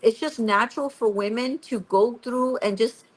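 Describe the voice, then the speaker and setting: Woman guest, fairly high voice, rambling storyteller who plays out dialogue. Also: moderate pace, low-quality recording. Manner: rambling with many restarts and quoted dialogue. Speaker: guest singer-songwriter. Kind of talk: casual radio interview.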